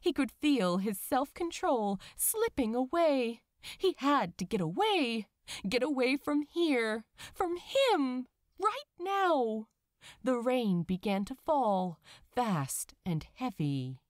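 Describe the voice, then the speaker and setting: A woman's voice: high-pitched, very animated, slow, no room sound, clean studio sound. A woman narrating a story dramatically, with an emotive, theatrical delivery. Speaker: narrator of fan fiction audiobook.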